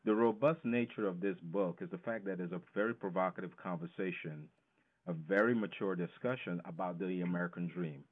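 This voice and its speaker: A man's voice: low-pitched, a little fast. A man explaining things as an interview guest, emphatic and fond of posing questions that he then answers himself. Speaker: financial educator, interview guest. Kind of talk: explanatory radio interview talk. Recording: narrow, tinny phone-line sound.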